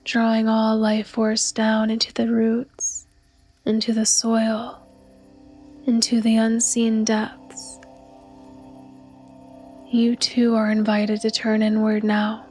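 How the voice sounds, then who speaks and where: Slow, soft woman guiding a meditation. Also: on the high side, monotone, faint music behind. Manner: soft, breathy, soothing, unhurried delivery. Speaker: meditation guide. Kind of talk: guided yoga nidra meditation narration.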